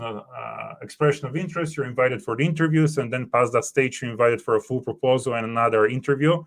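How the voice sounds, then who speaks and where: A man in his thirties whose first language is Bulgarian, an earnest startup co-founder thinking aloud with many fillers and restarts on a video call from home. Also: medium pitch. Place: home room.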